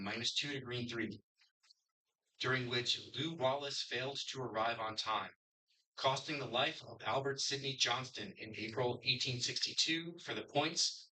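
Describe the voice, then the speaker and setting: A middle-aged man from Connecticut, moderating a history quiz and reading questions in a brisk, upbeat way. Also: medium pitch, pushed and bright, rough recording.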